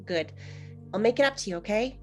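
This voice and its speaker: A woman's voice: mid-range voice, animated, brisk, faint music behind, lightly acted. A woman playing an affectionate but firm working mother of a young boy in a screenplay table read. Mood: loving, firm. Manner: tender, gently bossy.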